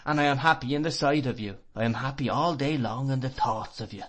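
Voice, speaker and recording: Man doing a gentle, plain-spoken young man. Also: mid-range voice, brisk, lightly acted. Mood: tender. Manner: tender, rough dialect. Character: gentle, humble working-class young man.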